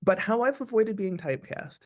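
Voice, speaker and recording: A man's voice: high-pitched, lively intonation, brisk. An American man, an actor being interviewed, talking reflectively and restating his points with emphasis. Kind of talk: conversational interview.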